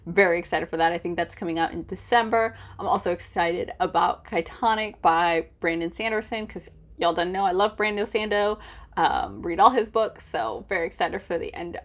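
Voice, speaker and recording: A woman's voice: lowish voice, normal speed. Casual, chatty woman book vlogger. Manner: informal, hesitant with frequent "like" asides, gushing emphasis.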